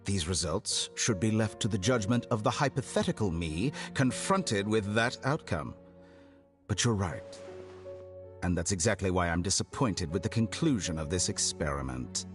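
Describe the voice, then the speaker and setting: Low, arrogant villainous scholar. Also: male voice, animated, over music. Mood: cool, condescending. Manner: smooth, condescending, deliberate, mock-polite. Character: arrogant, calculating male scholar villain, coolly amused. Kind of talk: video game voice acting.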